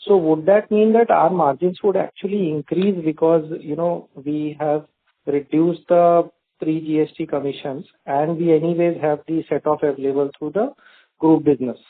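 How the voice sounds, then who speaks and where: A man's voice: on the high side, steady tone, normal speed. A man with an Indian accent politely asking questions as an analyst on a conference call. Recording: thin phone line.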